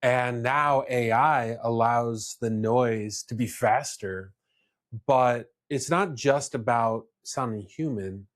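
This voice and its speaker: A man's voice: mid-range voice, slow. A man hosting a casual business discussion, speaking in a blunt, opinionated way. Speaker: podcast host.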